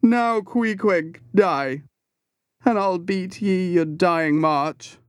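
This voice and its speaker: A man's voice: high-pitched, drawn-out words, clear, resonant tone, no room sound, studio quality, soft background music, voice pushed up. A man voicing a mad young cabin boy in an audiobook, grieving and frenzied, with wild chanting and repeated laments. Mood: plaintive, tender.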